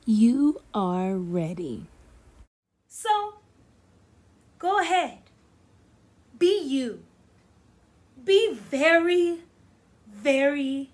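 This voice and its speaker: A young woman teacher reading a picture book aloud to children in an animated, deliberate, encouraging way. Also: a little high. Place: small indoor room against plain backdrop.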